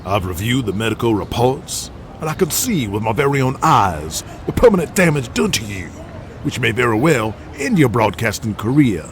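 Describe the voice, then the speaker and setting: An actor playing a stern, righteous older lawyer who orates formally and pompously in an audio drama. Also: male voice, medium pitch, big pitch swings, moderate pace, a little hiss or hum. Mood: stern, indignant.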